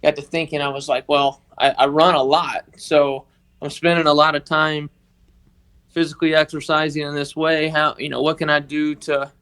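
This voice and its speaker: A man with a Mississippi accent, telling a personal story on a podcast in a halting, earnest way. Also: a little high, flat delivery, fairly bright tone, smooth. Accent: Southern US, Mississippi. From northeast Mississippi, United States. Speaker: podcast guest, organization member telling his story.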